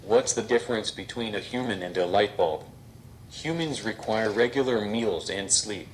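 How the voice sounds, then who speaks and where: A man voicing a deadpan robot comic. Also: on the low side, moderate pace, a little hiss or hum, lightly acted, rough recording. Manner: flat deadpan robotic delivery. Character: deadpan male robot stand-up comedian. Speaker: comedian. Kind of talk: stand-up comedy set.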